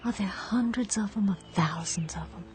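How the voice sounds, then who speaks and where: A woman's voice: medium pitch, moderate pace, close to an everyday voice. A woman voicing a grieving woman weakened by fever, wistful and fragile, often sinking into whispers. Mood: weary. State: feverish and weak. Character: grieving Southern woman waiting for her husband. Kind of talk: radio drama acting. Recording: radio drama with music behind.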